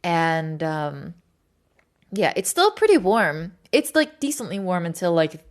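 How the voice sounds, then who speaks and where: A woman's voice: on the low side, sing-song, unhurried. A woman from Sweden chatting casually and informally to livestream viewers, rambling with plenty of filler words and some swearing. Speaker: livestream host.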